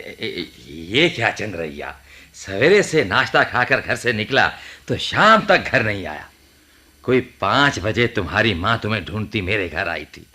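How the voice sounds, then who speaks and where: An actor playing a devout, fussy, worried village priest with a husky voice in a radio drama. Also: male voice, lowish voice, pushed and bright, heavily acted. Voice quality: husky, rough. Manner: exclamatory, pious interjections. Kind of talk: Hindi radio drama acting.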